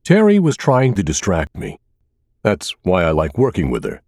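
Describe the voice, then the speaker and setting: A man reading a scripted first-person thriller as an audiobook narrator, with a measured, dramatic delivery. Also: deep voice, wildly swooping pitch, a little mellow, no room sound, studio quality.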